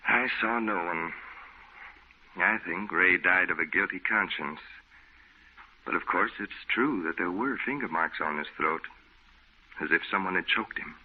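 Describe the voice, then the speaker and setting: Low-voiced, exasperated, practical friend in vintage radio drama, matter-of-fact, tinny hissy recording. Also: male voice, unhurried, long pauses. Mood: somber. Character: skeptical, down-to-earth male friend, exasperated. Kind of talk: old-time radio drama acting.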